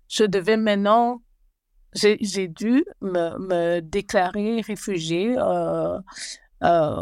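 A woman in her forties, a guest telling her life story slowly and hesitantly, often restarting as she searches for words. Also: fairly low pitch, creaky, a little mellow, no echo, clean studio sound. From Belgium and Rwanda. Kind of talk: personal life story interview.

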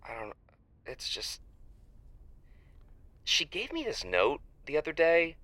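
A man playing an anxious, insecure aspiring novelist calling a radio show in an audio drama, halting and flustered. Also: a little high, wildly swooping pitch, pushed and bright, dry recording, full character voice. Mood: indignant, flustered. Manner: halting, flustered, self-doubting. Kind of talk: semi-improvised audio drama.

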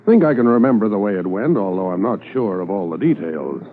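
A man's voice: fairly low pitch, expressive, fairly quick, slight background noise, close to an everyday voice. A man playing a reflective senior police officer narrating an old case in a 1950s radio drama. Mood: reminiscing. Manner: storytelling, reflective. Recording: thin, narrow 1950s radio recording.

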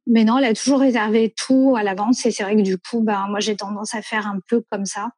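A French woman in her thirties, telling about family travels in a relaxed, rambling way with many fillers and self-corrections. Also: mid-range voice, fairly quick, no echo. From France. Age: 30s. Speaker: guest. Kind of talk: casual conversational interview.

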